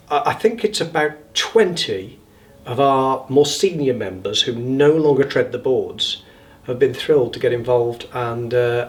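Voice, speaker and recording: A man talking informally in an interview about his group's work. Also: mid-range voice. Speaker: theatre group member interviewed.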